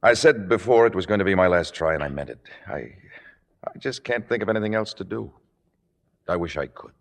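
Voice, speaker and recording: Exasperated, earnest man, deep and swooping. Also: lively intonation, a little fast. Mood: weary, pleading. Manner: emphatic, earnest, firm. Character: exasperated, plainspoken boyfriend of a stage star. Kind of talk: 1950s radio drama acting. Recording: old radio broadcast in reverberant hall.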